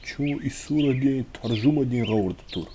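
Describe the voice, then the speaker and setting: A man plays a wise, kindly old teacher in a cartoon, with a dark, mellow voice. Birds chirp behind him over faint noise. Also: mid-range voice, a little slow, close to an everyday voice. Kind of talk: cartoon dub acting.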